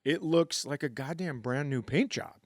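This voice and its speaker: A middle-aged man co-hosting a live comedy podcast, telling crude stories in a deadpan way. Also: on the high side, normal speed, no echo, clean studio sound. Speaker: podcast host. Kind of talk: casual comedic chat.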